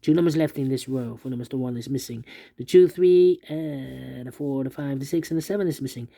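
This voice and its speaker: A man's voice: mid-range voice, a little fast, gravelly, dark tone. A man explaining a sudoku step by step, thinking aloud and repeating the numbers to himself. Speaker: sudoku instructor. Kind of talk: thinking aloud while solving a puzzle.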